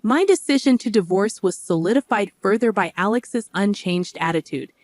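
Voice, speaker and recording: A woman's voice: mid-range voice, lively intonation, moderate pace, darkish tone. A woman narrating a first-person family story in an aggrieved, resolute tone.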